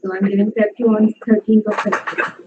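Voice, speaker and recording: A woman's voice: medium pitch, level tone, quick pace. Distant woman introducing herself. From Pakistan. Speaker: course student. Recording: distant, muffled classroom stream with hiss.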